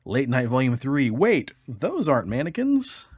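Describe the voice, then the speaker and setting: A man's voice: mid-range voice, wildly swooping pitch, a little slow, darkish tone, husky, dry recording, clean studio sound. A man co-hosting a casual comedy podcast, chatting in a profane, jokey, suggestive way. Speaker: podcast co-host.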